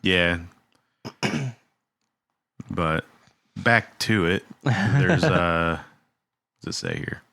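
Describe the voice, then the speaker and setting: A man's voice: low-pitched, lively intonation, extremely slow, gravelly, pauses often, bright, forceful tone, no room sound. A man co-hosting a casual podcast, making jokey asides. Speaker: podcast co-host. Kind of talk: casual chat.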